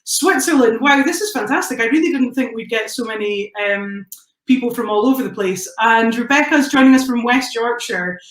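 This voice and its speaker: A Scottish woman hosts a live online science show in a bright, chatty, welcoming way. Her voice sits a little high for a woman. The room sounds echoey around her. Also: moderate pace. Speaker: host. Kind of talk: live-streamed event hosting.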